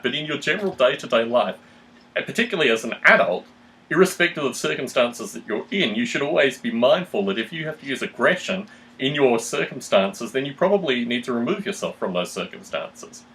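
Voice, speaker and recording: A man speaks as the host of a reflective discussion. He is articulate and analytical, building long explanations in measured, formal phrasing. His voice sits at an average pitch. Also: a bit bright, poor audio. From Australia. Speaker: podcast host.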